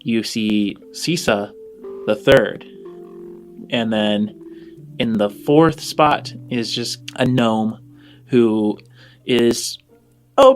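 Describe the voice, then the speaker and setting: Man, game master, improvised scene narration, measured, pausing, medium pitch, faint music underneath.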